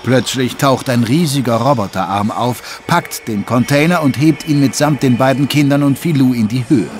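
A man's voice: medium pitch, moderate pace, slight background noise. A man narrating a children's adventure audio drama in a scripted, dramatic style.

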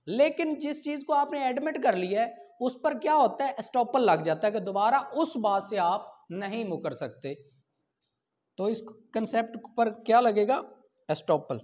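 A man's voice: very high-pitched, animated, moderate pace, darkish tone. Pakistani male teacher, dull lo-fi recording. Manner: didactic, asks questions and answers them himself. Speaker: law teacher. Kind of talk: classroom lecture for exam preparation. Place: small indoor classroom at a whiteboard. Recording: dull lo-fi camera sound.

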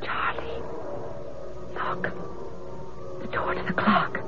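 An actress playing an anxious, curious homeowner in a vintage radio mystery drama. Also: female voice, slow, over loud music, close to an everyday voice. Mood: frightened, hushed. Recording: muffled, hissy old radio broadcast.

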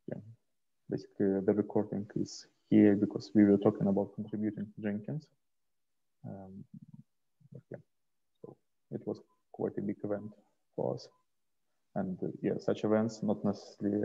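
A man presenting slides informally on a video call, speaking in a hesitant, halting way into a headset. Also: fairly low pitch, gravelly, husky. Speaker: host and presenter of an online community onboarding session. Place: small indoor room, video call. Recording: dull, muffled headset call audio.

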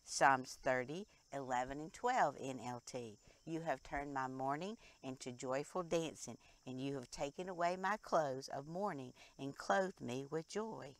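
An older woman earnestly reading a scripted devotional in a deliberate way. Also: extremely low pitch. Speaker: devotional host. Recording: clearly noisy recording with ambient sound.

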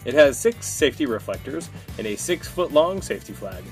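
A man's voice: on the high side, sing-song, fairly quick. Guy doing a scripted product ad voiceover, bit of music behind him. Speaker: advertisement narrator. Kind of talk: scripted promotional product narration.

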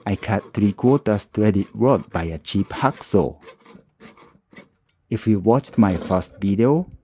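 A man narrating his own woodworking tutorial as a calm voice-over, with plain, slightly non-native phrasing. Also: fairly low pitch, no echo. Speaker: woodworking channel host.

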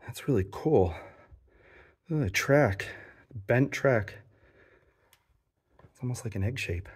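A man's voice: mid-range voice, expressive, takes it slowly, slightly rough. A man narrating his own exploration of a cramped abandoned mine, enthusiastic and explaining what he sees as he goes.

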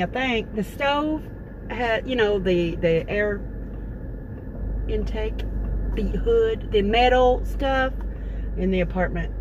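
A woman's voice: fairly low pitch, highs cut off. A middle-aged woman, chatting casually and rambling with lots of fillers to her phone camera in a parked car. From Texas. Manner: chatty, meandering, folksy with many fillers. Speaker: vlogger. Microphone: phone camera at close range. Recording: car interior with noticeable background noise.

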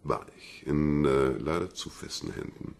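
An actor playing a dogged, wry police detective in a radio crime drama, with a deep gravelly voice. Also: male voice, lively intonation, measured pace, a little mellow, exaggerated voice. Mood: wry, brooding.

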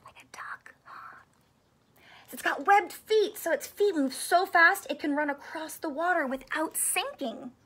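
A woman in her thirties with a high-pitched voice reads a picture book to young children. She takes it very slowly, stretching key words and putting questions to her listeners like an expressive teacher. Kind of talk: animated read-aloud of a children's book. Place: small indoor room with couch and stuffed toys.

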